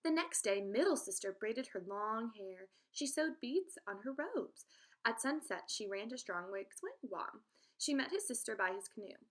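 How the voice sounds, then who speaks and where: Female teacher, expressive children's read-aloud, direct address to young listeners. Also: fairly high pitch, normal speed. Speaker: teacher reading aloud to pupils.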